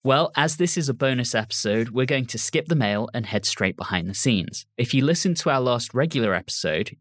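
A man's voice: medium pitch, fairly bright tone, no echo. A man hosting a podcast, presenting prepared background notes and leading the discussion. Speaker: podcast host. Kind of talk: scripted podcast introduction and discussion.

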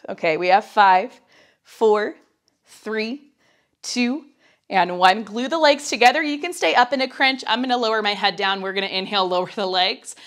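A woman's voice: mid-range voice, moderate pace, fairly bright tone. A young woman in her twenties with a nasal, cold-stuffed voice, coaching a mat pilates workout while doing it herself, a little breathless, chatty and encouraging as she counts down. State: getting over a cold, slightly breathless from exercise. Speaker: pilates instructor. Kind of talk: guided workout instruction. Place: small home studio, indoors.

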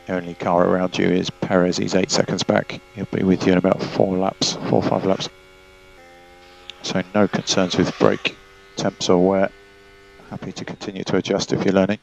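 Male race engineer: low, flat, gravelly, calm and methodical over radio. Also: normal speed, a little hiss or hum, poor audio. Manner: calm, steady, repeats key numbers. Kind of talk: team radio instructions.

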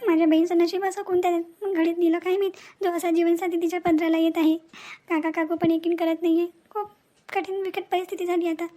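A woman puts on a fretful young woman from a cartoon family drama. The voice is extremely high and soft in tone. She sounds plaintive and helpless. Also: little pitch movement, fairly quick, soft background music. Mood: worried, despairing. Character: anxious, helpless young woman fearing for her sister.

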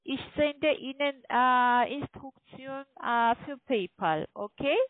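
A woman with a foreign accent speaking halting, non-native German on the phone, insistently repeating instructions as a call centre agent. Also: sits high. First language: not German. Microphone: telephone. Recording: tinny, narrow phone line.

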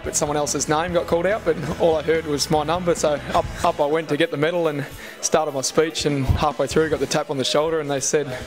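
A young Australian footballer tells a story in a flat, matter-of-fact way into a handheld mic. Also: male voice, on the high side. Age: young adult. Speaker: footballer guest. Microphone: handheld interview mic.

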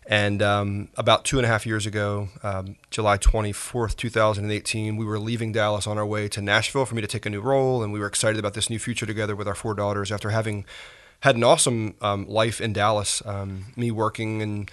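A man in his forties with a lowish voice speaks gently and haltingly. He pauses often with "um" and "uh" as he tells his story. This is a calm, reflective interview conversation. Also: clear, resonant tone. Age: 40s. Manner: soft-spoken, halting with frequent fillers. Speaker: pastor and podcast guest.

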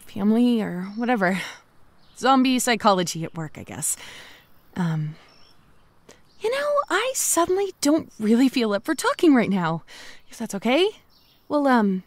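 A woman's voice: a little high, no echo. A woman playing a sweet, lonely female zombie, chatting hesitantly and hopefully to the listener in an audio drama. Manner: hesitant, rambling, trailing off.

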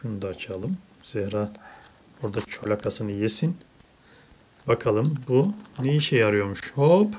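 A woman's voice: extremely low pitch, unhurried, darkish tone. A woman chatting casually with a child and viewers as a parent hosting a toy unboxing, playfully exasperated. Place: indoors at a table. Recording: clearly noisy home recording with clicks.

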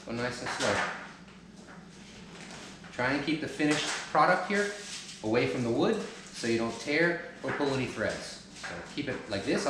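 A man in his thirties giving practical step-by-step how-to instructions while working on the floor of a large family room at home, recorded on a camera mic from a distance. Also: medium pitch. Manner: practical step-by-step, talks while kneeling and lifting. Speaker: DIY home channel host. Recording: echoey room, some noise.

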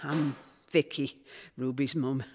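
An actress playing a chatty, nosy middle-aged Northern English mum in an audio play. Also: female voice, rumbling low, fairly even intonation, slow pace, heavy background noise. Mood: friendly, curious. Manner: gossipy, grumbling, uses endearments. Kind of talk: audio play performed on stage. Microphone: stand microphone.

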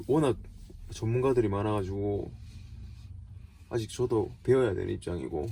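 A man's voice: mid-range voice, warm tone. A man in his twenties from South Korea with a regional dialect, chatting casually and self-deprecatingly as he answers viewer questions as a vlogger. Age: 20s.

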